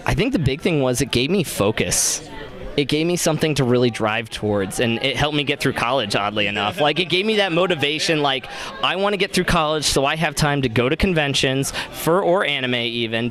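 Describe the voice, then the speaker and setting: A man's voice: medium pitch, fairly bright tone. A young adult man who works as a DJ, talking casually as an interview guest.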